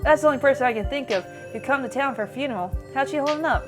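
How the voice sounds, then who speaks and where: A woman's voice: sits high, very fast, over music, voice pushed up, close to an everyday voice. A woman reading the lines of a chatty, friendly small-town young woman in a video game, with a folksy, easygoing manner. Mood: friendly curiosity.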